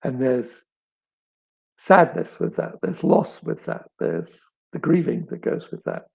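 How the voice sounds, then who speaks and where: A middle-aged man with a crackly, raspy voice, as if with a frog in his throat, chatting reflectively as an author and telling stories with thoughtful pauses and emphasis. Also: fairly high pitch, expressive, darkish tone. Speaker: author answering audience questions. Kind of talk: reflective live chat, storytelling.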